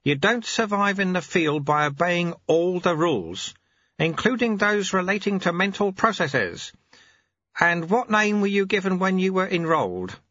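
Amiable little old sweeper-monk, unhurried and teasing, put on by a male narrator; slightly husky, dry sound. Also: sits high, very animated, bright, forceful tone, no echo, lo-fi sound, pitched above the performer's own voice, heavily acted. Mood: amused.

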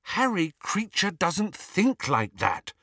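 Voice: mid-range voice, very animated, slow, fairly bright tone, dry recording, clean studio sound, voice pushed up, heavily acted. A performer voicing a tender-hearted, distressed teenage girl in an audiobook, emotional and pleading. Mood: tearful, gentle. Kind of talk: audiobook character acting.

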